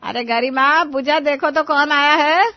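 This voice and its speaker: A woman voicing a sarcastic older mother-in-law who scolds and grumbles in an animated family story. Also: high-pitched, a little slow, highs cut off. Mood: bossy, welcoming. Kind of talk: animated moral-story dialogue.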